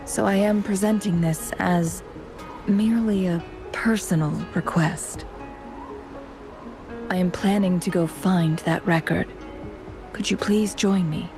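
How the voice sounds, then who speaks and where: A woman voicing a composed, courteous young strategist in a fantasy game, speaking in measured, formal phrases. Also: on the low side, steady tone, over music. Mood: composed, thoughtful. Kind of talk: scripted video game dialogue.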